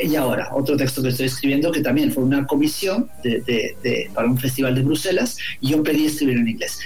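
A man from Guatemala, a novelist, answering interview questions in a thoughtful, halting way, repeating words and tagging phrases with "no?". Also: mid-range voice, a little mellow, echoey room, rough recording. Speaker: novelist guest.

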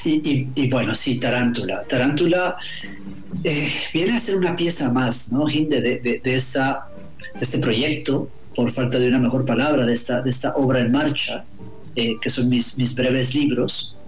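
A middle-aged man from Guatemala talks about his own writing. He is reflective and halting, and he often doubles back over his words. His voice sits at an ordinary pitch and carries a noticeable room echo. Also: faint music behind. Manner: hesitant, repeats words while searching for phrasing. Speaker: author, interview guest. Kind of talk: reflective conversation. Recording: roomy echo.

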